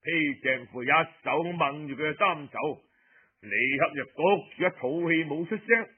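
A man with a Cantonese accent telling a story in an expressive, colloquial way, addressing his listeners directly. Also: on the high side, measured pace, narrow, tinny audio. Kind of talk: dramatized traditional oral storytelling narration.

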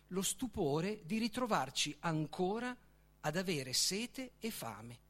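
A man reading literary prose aloud as its author, in a declamatory, deliberate manner with emphatic pauses. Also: high voice, fairly bright tone. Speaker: author reading own book. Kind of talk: literary reading, dramatic recitation.

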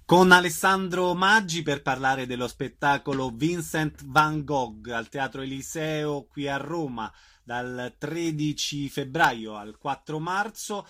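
A man's voice: a little high, slow pace, sharp tone. A man hosting a radio interview with theatre guests.

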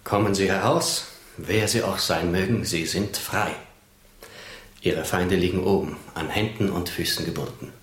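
An actor playing a gallant, blustering, easily baffled adventurer with formal, emphatic manners in a German radio drama. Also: male voice, low voice, a little slow. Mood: gallant, urgent. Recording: echoey room.

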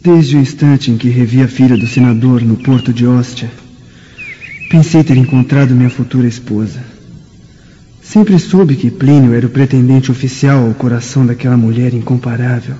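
A man's voice: medium pitch, steady tone, moderate pace, warm tone, a little hiss or hum, natural voice. A man playing a jealous, lovesick young Roman nobleman in a radio drama, with a Brazilian accent. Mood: melancholy longing. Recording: muffled, long hall reverb, music.

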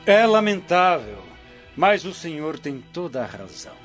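Theatrical, swooping Roman prefect. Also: male voice, fairly high pitch, big pitch swings, unhurried. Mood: probing. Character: powerful Roman prefect, smooth, inquisitive. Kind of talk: radio drama acting. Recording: muffled radio drama with background music.

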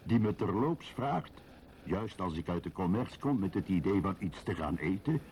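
A man's voice: sits low, lively intonation, moderate pace, darkish tone. Radio drama: wry, lonely middle-aged man thinking aloud, swinging intonation, faint background noise. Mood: wry, musing. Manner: musing, self-questioning, wry.

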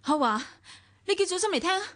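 An easily hurt, resentful wife in a radio play, in Hong Kong Cantonese. Her voice is high and very animated, with impulsive swings. Clear noise and clicks sit behind it. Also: fast talker. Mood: agitated. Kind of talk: radio drama acting.

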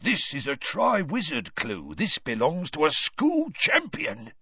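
A man's voice: a little high, wildly swooping pitch, slow pace, sharp tone, husky, dry recording, highs cut off, voice pushed up, exaggerated voice. A man voicing a spiteful, wheedling old male caretaker who whines malevolently. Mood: gloating. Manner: whining and malevolent.

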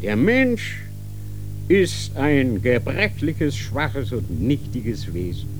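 An actor playing a cold, stern inquisitor who lectures with rhetorical questions. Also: male voice, fairly high pitch, background noise. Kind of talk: radio drama acting.

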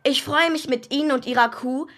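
High-voiced precocious young boy. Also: fairly quick, fairly bright tone. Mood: matter-of-fact. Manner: matter-of-fact, know-it-all. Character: precocious, blunt young boy in a hero costume. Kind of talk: German children's radio play acting.

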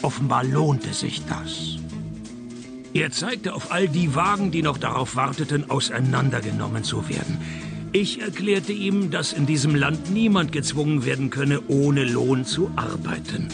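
Knarziger, trockener Privatdetektiv. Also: male voice, mid-range voice, measured pace, vocal fry, music in the background. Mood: brooding, laconic. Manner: dry, sardonic, deadpan. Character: wry, deadpan private detective narrating his own cases. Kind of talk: radio play detective narration and dialogue.

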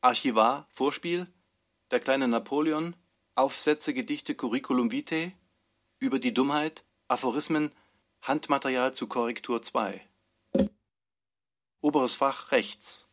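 A man's voice: mid-range voice, measured pace, pauses often, fairly bright tone. A man reading a scripted archive inventory in clipped, list-like phrases for a radio production.

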